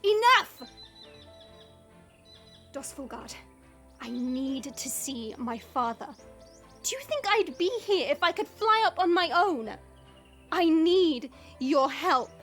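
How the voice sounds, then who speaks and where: Woman as a high-voiced, proud dragon princess. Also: very animated, slow pace, long pauses, fairly bright tone, faint music behind, exaggerated voice. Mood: hurt, insistent. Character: proud, determined dragon princess cursed into human form. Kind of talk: fantasy audio roleplay acting.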